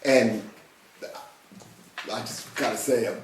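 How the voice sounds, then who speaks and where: A man's voice: medium pitch, takes it slowly, recorded from a distance, a little hiss or hum. Stammering man, heavy accent, echoey room. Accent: Spanish-influenced accent. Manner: stammering, repeats words, enthusiastic. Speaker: podcast co-host and singer. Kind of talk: casual chat.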